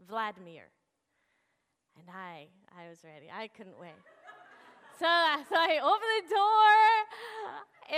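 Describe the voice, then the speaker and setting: Animated high-voiced woman preacher. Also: clean tone. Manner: animated, laughs often, acts out dialogue. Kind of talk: storytelling sermon. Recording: long reverb of a large hall.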